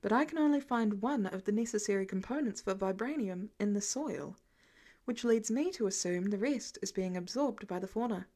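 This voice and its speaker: A woman playing a thoughtful male research scientist in an audiobook, speaking in a measured, low-key way. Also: animated, mellow, close to an everyday voice. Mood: explaining.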